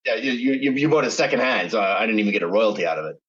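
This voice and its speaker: A man's voice: lowish voice, animated, rapid-fire, fairly bright tone, slightly rough, lo-fi sound. A middle-aged man with a mixed American, British and Australian accent, rambling through a podcast interview as a guest author, with many restarts. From United States. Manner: meandering, many restarts and fillers.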